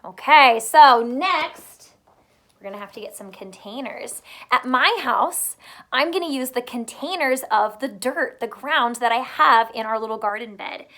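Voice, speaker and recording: A woman teacher reading a picture book aloud to young children, pausing to explain simply and ask questions, drawing out words for emphasis. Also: fairly high pitch, pushed and bright.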